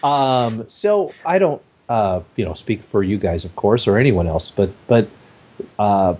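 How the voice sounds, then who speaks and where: A young man with a husky voice hosts a casual podcast, talking in an animated, rambling way full of fillers. His pitch swings widely as he goes, even when it sits around the middle. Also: unhurried. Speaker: podcast host.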